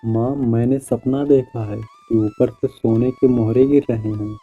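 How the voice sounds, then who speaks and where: A man's voice: mid-range voice, fairly even intonation, a little slow, narrow, tinny audio, faint music behind, lightly acted. A man voicing a naive, hopeful and honest young village farmer in a cartoon moral story, speaking earnestly with exclamations. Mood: hopeful, excited.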